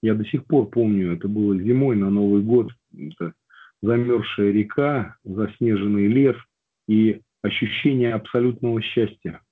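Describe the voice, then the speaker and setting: A man with a cold reads aloud and then slowly and reflectively tells his own recovery story to a group, with hesitant fillers. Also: fairly low pitch, slightly rough. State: has a cold. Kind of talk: personal testimony talk. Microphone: video call. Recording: tinny phone-like line.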